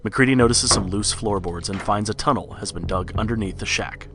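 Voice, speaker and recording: A man reads scripted horror narration like a storybook record. His voice is on the low side, and music plays clearly behind him. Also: brisk.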